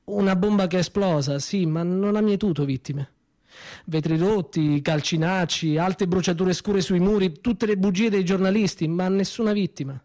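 Somber man with a mellow, higher voice. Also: no echo. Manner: measured, grave storytelling with dramatic pauses. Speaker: storyteller. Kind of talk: theatrical monologue narration.

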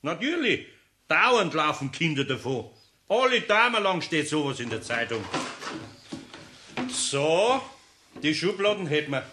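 An older actor playing a dry, grumbling master carpenter in a radio play. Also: male voice, fairly high pitch, big pitch swings, a little slow, pauses often, echoey room, background noise. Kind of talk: 1960s radio play acting.

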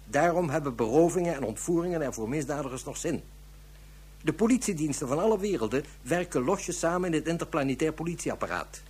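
A slightly raised, husky male voice plays a science fiction police inspector. He is grave and careful, laying out each point with measured official authority. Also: a little high, darkish tone. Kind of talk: radio drama acting.

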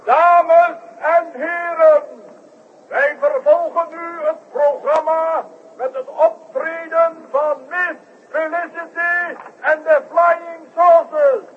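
A man's voice: extremely high pitch, fairly even intonation, slow pace. A man playing a grand circus ringmaster in a 1960s radio drama, announcing the acts in a formal, declamatory showman's style. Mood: grand, suspenseful. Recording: noisy muffled 1960s radio recording.

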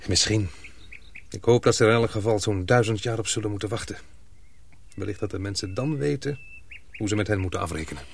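A fairly low-pitched young man in a radio play, with faint noise behind him. He is a dutiful fiancé who speaks thoughtfully and with restraint. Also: brisk, creaky. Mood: grave, pondering. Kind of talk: radio drama acting.

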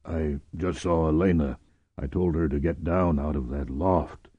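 Audiobook role: dutiful, gruff sergeant, bottom-of-the-range voice, plain-spoken, slightly muffled recording. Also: male voice, fairly even intonation, a little slow, no echo, full character voice. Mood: apologetic. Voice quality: low, rough, husky. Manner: plain, terse, deferential. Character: gruff, dutiful male sergeant bodyguard, grimly apologetic. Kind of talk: audiobook character voice.

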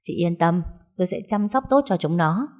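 A woman's voice: lowish voice, expressive, normal speed, a little mellow, natural voice. A woman voicing a cold, scornful young wife who talks back sharply. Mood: defiant. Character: cold defiant young wife leaving her husband.

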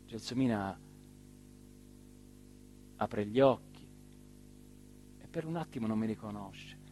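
An actor playing a weary, brooding village man in a theatrical monologue, speaking solemnly and deliberately with dramatic pauses. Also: on the low side, expressive, dark tone, a little hiss or hum, poor audio. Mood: hushed, intimate. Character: weary, brooding village man, mayoral candidate addressing voters. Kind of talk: theatrical monologue, literary narration.

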